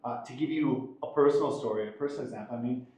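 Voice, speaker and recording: A man's voice: mid-range voice, racing, darkish tone. Middle-aged American man in a very echoey room. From Buffalo, western New York, United States. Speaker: attorney, interview guest. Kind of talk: conversational interview answers.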